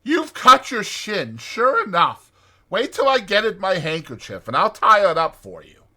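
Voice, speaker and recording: A man voicing a brisk, bossy, resourceful rat in an emphatic, exclaiming way for a dramatized audiobook. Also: high-pitched, wildly swooping pitch, bright, forceful tone, pitched above the performer's own voice, heavily acted. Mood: kindly, attentive.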